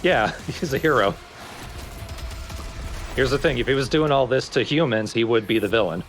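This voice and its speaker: A man's voice: mid-range voice, normal speed, fairly bright tone, soft background music. A man giving dry, casual co-commentary on a video game. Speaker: let's play co-commentator.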